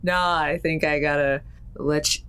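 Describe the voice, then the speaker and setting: A woman's voice: low-pitched, steady tone, a little slow, a bit bright, slight background noise. A woman chatting casually as a tabletop game player, somewhat hesitant in her delivery. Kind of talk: casual chat.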